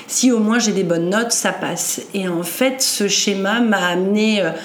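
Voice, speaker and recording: A woman's voice: mid-range voice, a little slow, reverberant, slight background noise. A woman in her forties from northern France, telling her life story as a podcast guest, hesitant with many fillers and restarts. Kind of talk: personal story in an interview.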